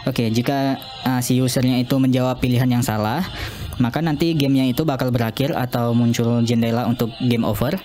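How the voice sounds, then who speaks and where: A young man narrating an informal software demo as a student, speaking haltingly and repeating himself. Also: medium pitch, fairly even intonation, soft background music. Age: young adult. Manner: halting, repetitive. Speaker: student demonstrating own app.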